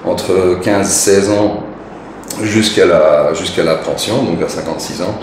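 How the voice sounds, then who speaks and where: A man in his forties with a Belgian French accent, a guest talking casually in an interview, relaxed and hesitant with many fillers. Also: sits low, unhurried. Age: 40s. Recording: echoey room, noisy, mic at a distance.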